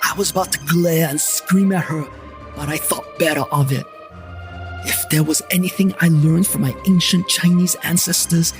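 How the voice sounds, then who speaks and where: A man narrating a comedic first-person paranormal story in a wisecracking, deadpan manner, over background music. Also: a little high. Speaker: narrator and lead character, a paranormal investigator.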